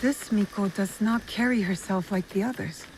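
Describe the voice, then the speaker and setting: A woman's voice: mid-range voice, normal speed, a little hiss or hum, natural voice, rough recording. Woman acting a resolute, guarded young investigator. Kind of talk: dramatic game cutscene acting.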